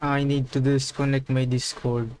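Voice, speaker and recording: A man's voice: medium pitch, flat delivery, moderate pace, darkish tone, clear, resonant tone. A man giving casual, informal livestream commentary as he reacts to a game. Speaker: game streamer.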